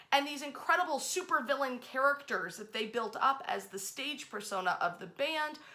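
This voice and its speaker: A woman's voice: fairly high pitch, pushed and bright. A woman hosting an informal history storytelling session, speaking in a dramatic, conversational way with emphatic phrasing. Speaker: host, local history storyteller.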